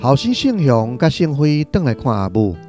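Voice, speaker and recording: A man's voice: mid-range voice, sing-song, normal speed, darkish tone. A man with a Taiwanese accent narrating a literary radio drama as a scripted storyteller. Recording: music behind the narration.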